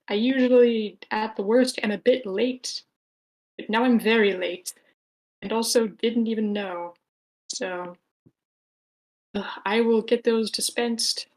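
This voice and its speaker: A woman's voice: a little high, darkish tone, poor audio. A woman hosting an online script meeting, talking informally with a wry, rambling manner and sometimes reading out written comments. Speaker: host moderating an online script table read. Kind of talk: casual meeting moderation, reading out chat comments. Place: online live meeting room.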